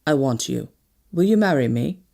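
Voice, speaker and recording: A woman's voice: deep voice, big pitch swings, a little fast, darkish tone, exaggerated voice. A woman voicing a stern, commanding colonel in an audiobook, with a firm, clipped and condescending manner. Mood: grave, intent. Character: stern, commanding British colonel, a grim older man. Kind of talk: audiobook character dialogue.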